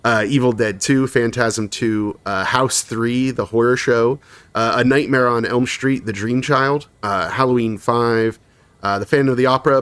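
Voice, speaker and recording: A man's voice: medium pitch, fairly bright tone, no room sound. A man co-hosting a podcast in casual chat, reading long lists of credits with frequent laughs and fillers. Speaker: podcast co-host.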